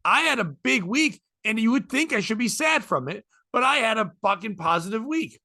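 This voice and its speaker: A man in his forties with a high, gravelly and bright voice. He chats candidly and rambles as a podcast host, swearing freely. The sound is dry and close. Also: animated, moderate pace, no echo. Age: 40s. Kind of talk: casual chat.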